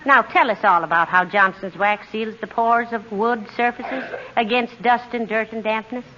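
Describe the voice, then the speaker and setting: An actress playing a sweet-talking, teasing, mock-innocent wife in 1940s radio comedy. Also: female voice, a little high, normal speed, sharp tone. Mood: gushing. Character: sweet-talking, teasing wife who wheedles her husband. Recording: noisy, muffled 1940s radio recording.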